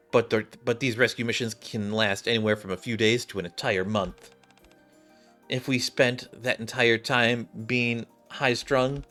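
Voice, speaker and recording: A stern but reassuring woman squad leader from a game, voiced by a man. The delivery is measured and formal, with calm command. Music plays behind. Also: mid-range voice, lightly acted.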